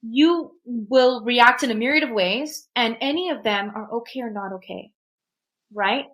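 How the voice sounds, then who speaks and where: A woman's voice: fairly high pitch, unhurried, a bit bright. A woman whose first language is Urdu, talking through personal experiences and advice in an emphatic, reflective way and often checking in with "right?". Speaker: podcast guest, technologist.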